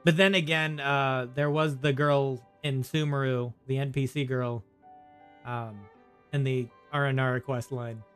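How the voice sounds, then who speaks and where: Casual male streamer, medium pitch, rambling. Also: flat delivery, a little slow, fairly bright tone, clear, resonant tone. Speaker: streamer, gameplay host. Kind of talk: casual gameplay commentary. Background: faint game music.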